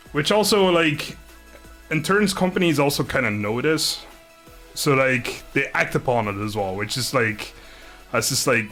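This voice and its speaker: A man from the Netherlands chats loosely on a livestream at a middle pitch, with music playing behind him. He sounds low on energy and laid-back, rambling from one thought to the next. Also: sharp tone. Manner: rambling, laid-back, swears mildly, often chuckles. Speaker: live streamer. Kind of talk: casual livestream chat with viewers.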